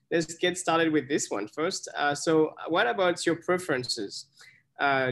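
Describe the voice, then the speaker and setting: A man's voice: fairly high pitch, a bit bright. A man hosting an interview, chatty and encouraging, with many fillers and restarts. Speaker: interview host. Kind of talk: casual interview.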